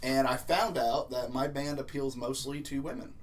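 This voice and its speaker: A young man co-hosts a loose, joking podcast chat and laughs easily. His voice sits at an ordinary middle pitch. He sounds a little hungover. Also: moderate pace, a little hiss or hum. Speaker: podcast co-host. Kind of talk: casual chat.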